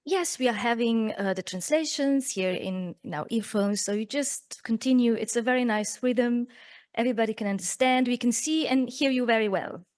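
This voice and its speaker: Woman, somewhat high voice, event moderator, friendly questions. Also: normal speed, a little mellow. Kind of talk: hosting a literary evening interview.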